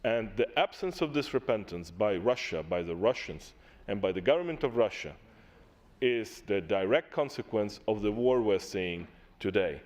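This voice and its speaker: A man's voice: on the low side, expressive, normal speed, darkish tone. A man delivers a formal speech in a large assembly chamber, pressing his points with emphatic repetition. He talks into a desk microphone with the weight of a delegate addressing colleagues. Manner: impassioned, emphatic, repeats key words. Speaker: parliamentary delegate.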